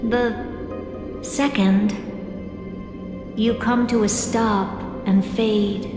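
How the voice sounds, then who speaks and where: An actress playing a solemn, enigmatic oracle in a video game, speaking in a measured, cryptic way. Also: female voice, mid-range voice, steady tone. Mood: grave, measured. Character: solemn, enigmatic female oracle explaining fate to another. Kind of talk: dramatic video game story dialogue. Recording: echoey reverberant hall, music underneath.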